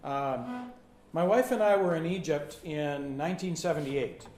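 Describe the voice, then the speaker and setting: A pastor in his sixties teaches in short, friendly phrases and waits for each one to be translated. His voice is a bit higher than most men's. He is recorded in a roomy, echoing hall with faint background ambience. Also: a little mellow, a little hiss or hum. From United States. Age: 60s. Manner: friendly, plain-spoken, pausing for translation.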